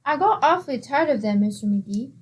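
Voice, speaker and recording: A woman's voice: high voice, expressive, a little fast, breathy edge. An actress playing a scatterbrained, guileless young woman in a 1940s-style radio comedy. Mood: deadpan.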